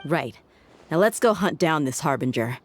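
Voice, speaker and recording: A woman's voice: low voice, expressive, normal speed. An actress playing a shrewd, confident female mercenary tracker in a video game, with a cool, assured manner. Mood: confident, focused. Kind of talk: scripted video game voice acting. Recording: music behind the voice. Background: music.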